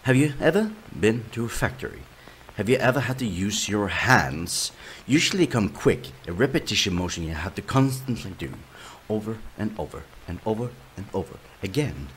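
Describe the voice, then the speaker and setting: Low-voiced man, animated spoken-word, noisy recording. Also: moderate pace. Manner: emphatic, repetitive, rhetorical questioning. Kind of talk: performed spoken-word poem monologue. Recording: noisy recording with room ambience.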